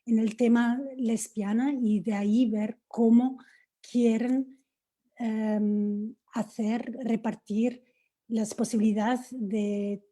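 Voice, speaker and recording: Very dark-voiced, slow woman, non-native Spanish speaker. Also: mid-range voice. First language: not Spanish. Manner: searching for words, mixing in English. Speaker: panel participant. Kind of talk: online panel discussion.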